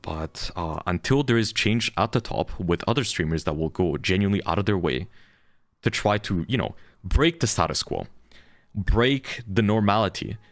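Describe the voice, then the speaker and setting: A young adult man with a deep voice, a streamer ranting frankly and heatedly about his community and swearing freely. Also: lively intonation, normal speed, no room sound. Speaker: VTuber streamer. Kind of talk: candid ranting monologue.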